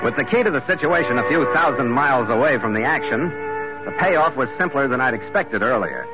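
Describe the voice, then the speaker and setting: A man's voice: mid-range voice, expressive, brisk, natural voice. A man playing a seasoned, wry, easygoing sea captain who narrates a vintage radio drama. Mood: wry narration. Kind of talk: 1940s radio drama, scripted first-person narration. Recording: muffled vintage radio recording, loud music.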